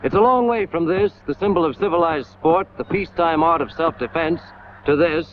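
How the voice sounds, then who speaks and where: A man's voice: on the high side, fairly quick. An American man delivering scripted 1940s military training-film narration in an emphatic, hard-hitting manner with clipped phrases. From United States. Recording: scratchy, tinny 1940s film soundtrack, loud noise.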